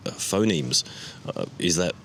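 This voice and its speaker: A man's voice: sits low, a little slow, slight background noise. A man hosting a solo podcast, talking casually and rambling with many fillers and restarts. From foreign resident of Japan. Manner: relaxed, rambling, many "uh" and "you know" fillers. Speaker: podcast host.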